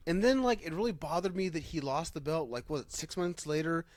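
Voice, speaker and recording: A man with a slightly higher-pitched voice, recorded close and dry. He hosts a loose, casual fan discussion, talking informally and feeling his way through sentences. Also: expressive, a little fast, dry recording. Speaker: podcast host. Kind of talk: casual chat about pro wrestling.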